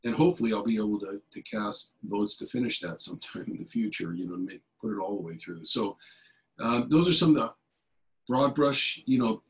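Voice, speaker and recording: Man on a video call, low voice. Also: animated, fairly quick, rough recording. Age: middle-aged. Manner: rambling, many fillers and restarts. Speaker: keynote speaker, elected official. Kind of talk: conversational video-call interview. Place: home office, indoors. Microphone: video call webcam.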